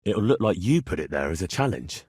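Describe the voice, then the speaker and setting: Game role: cheeky young swordsman in a medieval town. Husky, very animated, blunt bargainer. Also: low-pitched, fairly quick, no echo, studio quality, heavily acted. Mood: matter-of-fact. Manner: blunt, haggling, cocky. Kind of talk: video game character dialogue.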